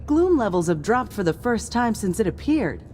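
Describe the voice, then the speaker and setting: An actress playing a determined military crew member in scripted sci-fi game dialogue. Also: female voice, medium pitch, sing-song, moderate pace, a little mellow, natural voice. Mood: guarded optimism. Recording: clear background noise, mechanical ambience.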